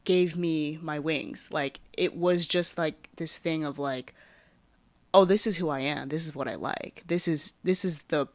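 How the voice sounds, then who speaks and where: A young woman talks casually into a close mic, recounting her own past with plenty of fillers. Her phrases often fade softly at the ends. The sound is dry with no room around it. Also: sits low, measured pace, no room sound. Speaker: podcast host. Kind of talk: casual personal storytelling.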